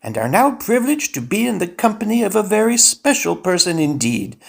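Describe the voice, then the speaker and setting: A man's voice: high voice, very animated, fairly quick, creaky, heavily acted. A man playing a pompous master of ceremonies for an opera troupe in an audio drama, announcing in a florid, declamatory way. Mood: ceremonious. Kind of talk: theatrical audio drama acting.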